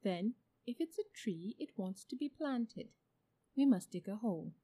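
Woman playing a measured, pompous storybook she-elephant. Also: mid-range voice, lively intonation, mellow, husky. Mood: decisive. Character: dignified, thoughtful she-elephant, mild and self-important. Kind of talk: storybook character voice.

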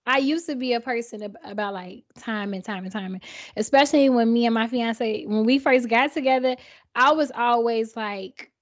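A woman co-hosting a podcast, thinking aloud in a relaxed, conversational way. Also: fairly high pitch, moderate pace. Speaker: podcast co-host. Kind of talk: casual chat.

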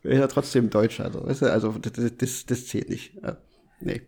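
A man's voice: medium pitch, steady tone, a little fast, creaky, warm tone, slightly rough. A man from northeastern Germany co-hosting a casual podcast, hesitant and repeating words as he starts sentences. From Mecklenburg-Vorpommern, Germany. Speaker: podcast co-host. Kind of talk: casual chat.

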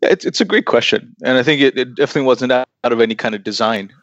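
Brisk American guest, lowish voice, dry muffled lo-fi recording. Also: male voice, lively intonation, dry recording. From United States. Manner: fast, enthusiastic, with frequent fillers and restarts. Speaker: startup investor, guest. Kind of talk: conversational interview. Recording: dry, dull lo-fi audio.

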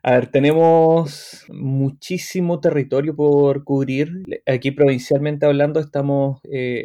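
Man with a Chilean accent, mid-pitched, casual and unhurried, a guest explaining his work. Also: mellow. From Chile, Patagonia. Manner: unhurried, with many restarts and tag questions. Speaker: guest, tour guide association president. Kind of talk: casual interview answers.

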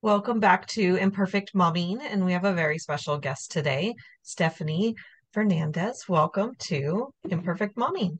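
A woman's voice: on the low side, lively intonation, moderate pace, soft background music. A woman hosting a podcast, chatting casually with a guest, with frequent fillers and restarts. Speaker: podcast host. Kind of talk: casual chat.

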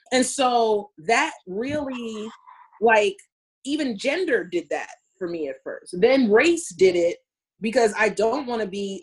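A woman in her forties talking emphatically in a casual podcast discussion, telling stories with lively emphasis. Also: on the high side, rough recording. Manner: animated, emphatic storytelling.

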